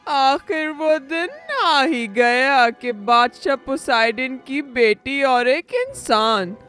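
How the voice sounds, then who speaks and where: An actress playing a wicked, scheming sea witch in an animated fairy tale, commanding and gloating as she talks. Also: high-pitched, slow pace, faint music behind.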